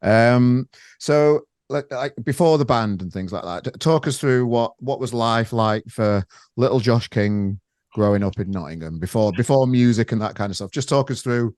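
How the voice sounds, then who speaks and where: A man's voice: mid-range voice, normal speed, darkish tone, dry recording, clean studio sound. A man in his forties interviewing a guest in a blunt, laid-back casual chat. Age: 40s.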